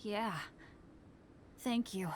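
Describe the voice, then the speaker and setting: A teenage boy adventurer in a video game. The voice is boyish at a middle female pitch, good-natured and upbeat. A little noise and ambience runs underneath. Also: steady tone, extremely slow. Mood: helpful, sheepish.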